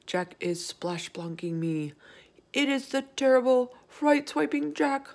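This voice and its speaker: A woman's voice: high voice, animated, unhurried, a little mellow, voice pushed up. A woman voicing a huge, brutish male giant from a storybook, bellowing and pleading in terror. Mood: terrified bellowing. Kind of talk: dramatized storybook reading.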